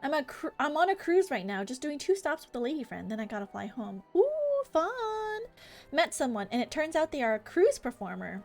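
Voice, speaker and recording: An American woman streaming a game, chatting casually with an animated, gossipy manner. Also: high-pitched, moderate pace. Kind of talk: live stream chat while reading game dialogue aloud. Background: music.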